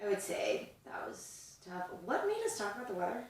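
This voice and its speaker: A woman's voice: mid-range voice, sing-song, moderate pace, heavy reverb, recorded from a distance. A woman in her twenties co-hosting a podcast, chatting in a rambling way with lots of fillers. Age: 20s. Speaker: podcast co-host. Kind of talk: casual chat.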